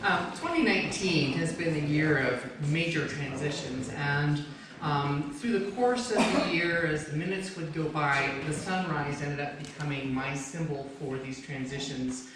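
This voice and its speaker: A woman's voice: low voice, a little slow. A woman presenting her own poetry to an audience at a book launch. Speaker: poet presenting own poem. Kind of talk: introducing and reciting a poem to an audience. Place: echoey room at a live book launch event. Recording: very echoey room, distant mic, faint noise.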